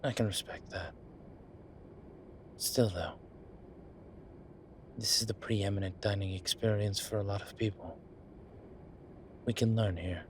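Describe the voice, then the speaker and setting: A man playing a wry, laid-back incubus demon professor in a roleplay audio drama, talking with breathy pauses and trailing sentences. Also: on the low side, steady tone, slight background noise, lightly acted. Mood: content, reflective. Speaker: voice actor. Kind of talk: roleplay audio drama, one side of a conversation.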